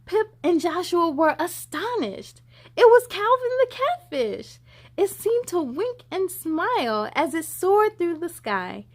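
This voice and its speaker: Female story time host: high voice, very lively swoops, dramatic storybook delivery aimed at kids. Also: takes it slowly. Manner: animated, dramatic, addressing children directly. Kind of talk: expressive storybook read-aloud and chat to young viewers.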